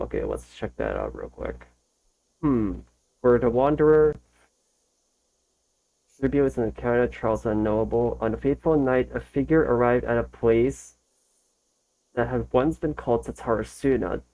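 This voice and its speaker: Mellow-voiced man reacting and reading aloud. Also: mid-range voice, gravelly, low-quality recording. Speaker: reaction video host. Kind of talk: casual reaction commentary and reading text aloud.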